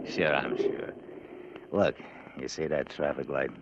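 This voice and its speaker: Very deep and slangy, this is a cocky American small-time crime boss in a vintage radio play. He schemes in tough-guy talk, on a dull recording with noise behind him. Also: slow pace, gravelly, exaggerated voice. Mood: cocky, scheming. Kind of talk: old-time radio crime drama acting.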